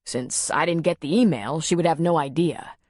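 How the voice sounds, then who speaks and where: A woman reading a storybook, voicing a weary man who speaks in a lowered, measured and soothing way. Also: darkish tone, no room sound, clean studio sound. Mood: gentle. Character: weary man, calm and gentle.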